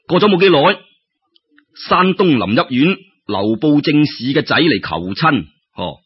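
A man with a Cantonese accent telling a story in an expressive, colloquial way, with exclamations and rhetorical questions. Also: a little high, measured pace, slightly rough. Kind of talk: animated traditional Cantonese storytelling narration. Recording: dull lo-fi audio.

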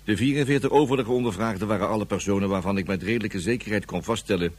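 An actor playing a weary, officious police inspector in a radio drama, dictating a report in a dry, bureaucratic way with a husky voice. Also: male voice, fairly low pitch, fast talker, a little mellow.

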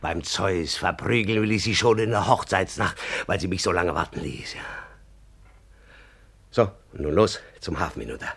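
An actor playing a boastful, jovial helmet maker in an old radio play. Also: male voice, low voice, normal speed, creaky, fairly bright tone, breathy edge, exaggerated voice. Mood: scheming. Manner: bragging and jovial, talks to himself aloud. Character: boastful male helmet maker, eager suitor. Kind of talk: 1950s radio play acting.

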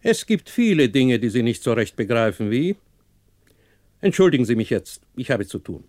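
Older, rich, imperious male patrician played by an actor, curt and formal, strongly varied pitch, vintage radio drama. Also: sing-song, normal speed, a little mellow, natural voice. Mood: cold, dismissive. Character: haughty, curt, wealthy older male shipyard owner. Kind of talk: 1960s German radio drama acting.

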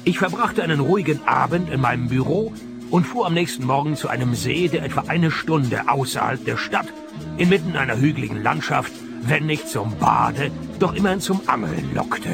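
A man's voice: mid-range voice, moderate pace, gravelly, music in the background. An actor playing a sarcastic male private detective in a radio play. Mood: dry narrating. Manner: dry, deadpan, sarcastic. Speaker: lead actor and narrator.

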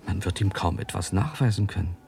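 An actor playing a grave, compassionate doctor in a German radio drama, speaking in a measured, explanatory way with thoughtful pauses. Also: male voice, low voice, gravelly, darkish tone. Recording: music under the voice.

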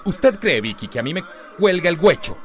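A man's voice: high-pitched, sing-song, normal speed, a bit bright, faint music behind. An actor playing a strict, distrustful, domineering father and husband in a radio soap, with a Costa Rican accent. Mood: stern, angry. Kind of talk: radio soap opera acting.

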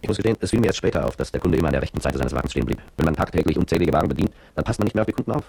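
Low, gravelly man's voice, that of a cowed petrol station attendant in a radio play. He confesses at a very quick pace, brooding and bitter, with an old soldier's fear underneath. The sound is close and dry. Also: expressive, a little mellow, no room sound, exaggerated voice. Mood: wary recollection. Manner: brooding, confessional first-person narration. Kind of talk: German radio drama monologue.

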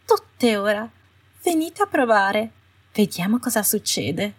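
Woman voicing a sugary, cruel female official, high-pitched. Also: big pitch swings, slow, slightly rough, heavily acted. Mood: sweetly taunting. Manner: coaxing, falsely sweet, condescending. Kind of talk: audiobook character voice. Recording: roomy echo, distant from the mic.

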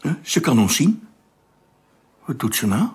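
A man's voice: mid-range voice, big pitch swings, a little slow, a little mellow, husky. An actor playing an eager, cheeky spaceship crewman in a radio drama, colloquial and impulsive. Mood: excited.

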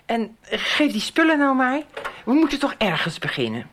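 A woman's voice: high voice, huge pitch swings, moderate pace, a bit bright, slight background noise, heavily acted. An actress playing a stubborn, driven middle-aged businesswoman and wife in a radio soap. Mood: exasperated, concerned.

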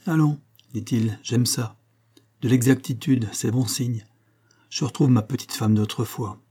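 Man voicing a sneering, cruel husband, mellow tone. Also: medium pitch, a little slow, breathy edge. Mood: smug irony. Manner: sneering, sarcastic, coldly domineering. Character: cruel, mocking estranged husband, menacing and smug. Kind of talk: dramatic audiobook dialogue.

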